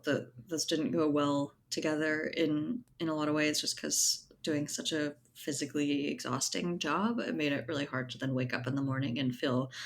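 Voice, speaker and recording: A woman with a low voice talks casually as an interview guest, with noticeable noise behind her. She is informal and thoughtful, often tagging her points with small checking questions. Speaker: interview guest, writer and editor. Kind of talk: casual conversational interview talk.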